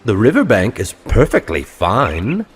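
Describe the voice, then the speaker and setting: A man voicing a friendly, easygoing, puzzled water rat in a husky, animated storybook voice. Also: on the low side, a little slow, soft background music.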